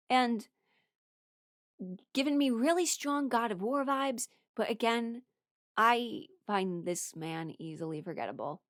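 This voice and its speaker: A young adult woman rambling casually through her opinions as a video creator, expressive and emphatic, blunt but apologetic. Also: a little high, no room sound, clean studio sound. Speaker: video creator ranking characters.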